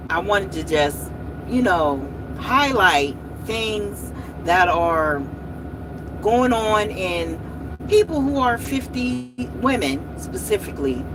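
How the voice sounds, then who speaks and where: Woman in her 40s, slow encouraging vlog talk, says y'all a lot, kinda high voice, traffic noise behind. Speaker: host.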